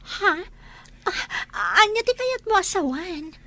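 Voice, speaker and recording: Woman playing clingy flirtatious mistress in radio-style drama: high, very animated, coy, cooing. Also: slow pace, dry recording, exaggerated voice. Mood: eager, coy. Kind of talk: Ilocano radio-style audio drama acting.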